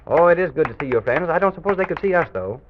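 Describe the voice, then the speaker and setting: An actor playing a gentle, agreeable storyteller companion in an old children's radio serial, speaking in a polite, wholesome way. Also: male voice, fairly high pitch, expressive, quick pace, noisy background, close to an everyday voice. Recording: tinny, narrow vintage radio with music.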